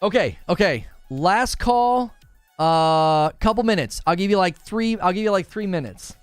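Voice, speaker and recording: A man with a smooth, clear and slightly high voice hosts a gaming livestream. He talks informally and slangily, speaking straight to his viewers as he reads out their comments. The sound is close and dry. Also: animated, a little slow, dry recording, soft background music. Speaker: gaming podcast host and livestreamer. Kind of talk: casual livestream chat, reading viewer comments aloud.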